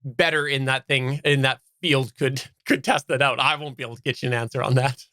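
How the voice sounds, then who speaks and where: A man's voice: medium pitch, fairly even intonation, fairly quick, bright, forceful tone, dry recording, studio quality. A man from Canada giving a casual, unscripted product review as a podcast host, explaining in detail and stressing key words.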